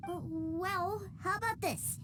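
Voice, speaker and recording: A woman's voice: very high voice, slow pace. A woman voicing an eager, cheeky little boy in a video game, childlike and earnest. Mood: pleading. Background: loud game music.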